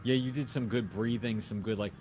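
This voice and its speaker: A man's voice: lowish voice, steady tone, fairly quick, darkish tone, a little hiss or hum. A man in casual conversation, rambling and wandering off on digressions. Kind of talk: casual chat.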